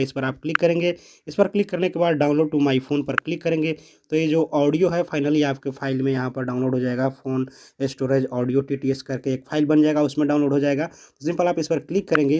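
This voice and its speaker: Fast-talking man giving an app tutorial. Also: a little high, fairly even intonation. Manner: explanatory, repeats phrases step by step. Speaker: tutorial presenter. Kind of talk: step-by-step app tutorial voice-over.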